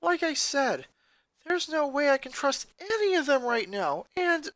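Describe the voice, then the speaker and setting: An earnest, hurt young boy from a story, guarded and a little high in pitch, as put on by a narrator. The sound is dry, with no room on it. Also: big pitch swings, unhurried, a bit bright, no room sound, full character voice. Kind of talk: acted fan fiction reading.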